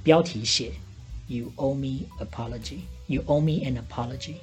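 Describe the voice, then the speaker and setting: A woman's voice: very deep voice, moderate pace, darkish tone, faint music behind, poor audio. A woman with a Taiwanese Mandarin accent co-hosting a casual podcast chat in a teasing, assertive way. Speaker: podcast co-host playing bartender.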